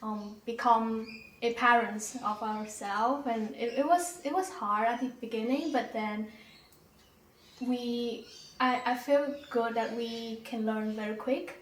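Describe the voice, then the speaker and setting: Slow-speaking young Vietnamese woman. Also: a little high, reverberant, distant mic, a little hiss or hum, rough recording. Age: young adult. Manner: hesitant with many ums. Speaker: interviewee. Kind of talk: casual personal interview.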